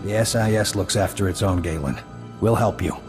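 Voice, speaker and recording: An actor playing a dry, authoritative intelligence officer in a video game, matter-of-fact, in a reverberant space. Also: male voice, sits low, fairly quick, over music, close to an everyday voice. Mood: firm, supportive. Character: composed, dry-humoured male intelligence squad leader.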